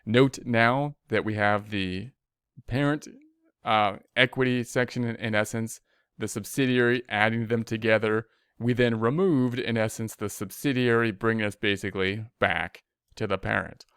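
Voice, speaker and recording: Low, clear-voiced man teaching step by step. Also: fairly even intonation, moderate pace, a bit bright, dry recording, clean studio sound. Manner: informal, step-by-step, repeats himself. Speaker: accounting instructor. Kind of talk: screen tutorial, thinking aloud while working a spreadsheet.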